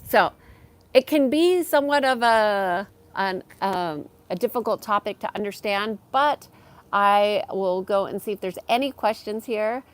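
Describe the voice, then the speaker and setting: A woman hosting a live stream from her backyard, talking slowly and softly, pausing with ums as she reads and answers chat comments. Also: mid-range voice. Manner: slow, soft-spoken, with frequent ums.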